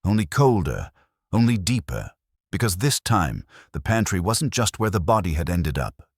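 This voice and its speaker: Very deep-voiced male story narrator. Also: sing-song, normal speed, husky, no echo, clean studio sound. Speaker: audiobook narrator. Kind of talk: scripted first-person detective story narration.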